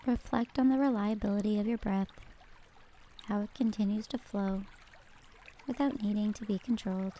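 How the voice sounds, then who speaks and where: Mellow woman guiding a meditation. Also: medium pitch. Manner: calm, gentle, measured. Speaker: meditation guide. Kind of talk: scripted guided meditation narration.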